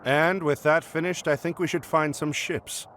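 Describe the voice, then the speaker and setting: A man's voice: on the high side, fairly even intonation, moderate pace, a bit bright, a little hiss or hum, natural voice. A man playing a resolute hero commander who speaks firmly in game cutscenes. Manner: firm, commanding. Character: commanding male hero, resolute war leader. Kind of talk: video game cutscene acting.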